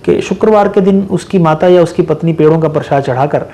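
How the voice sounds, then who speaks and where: A man's voice: fairly high pitch, fairly quick, mellow. A man giving emphatic, instructive astrological advice as an interview guest, mixing in English phrases. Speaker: astrologer and palmist, programme guest. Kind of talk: advisory explanation in a studio interview.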